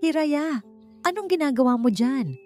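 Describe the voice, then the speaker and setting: A woman's voice: sits high, expressive, normal speed, dark tone, soft background music, pitched above the performer's own voice. A woman voicing a wealthy, caring male employer, concerned and reassuring, in a romance story. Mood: gentle concern.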